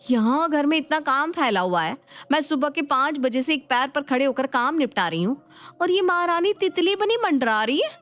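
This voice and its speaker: A woman's voice: high voice, animated, moderate pace, a bit bright, dry recording, voice pushed up. A woman voicing a bossy, overworked elder sister-in-law who scolds in colloquial speech in a family storybook. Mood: irritated, mocking. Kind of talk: dramatised Hindi family storybook. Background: music.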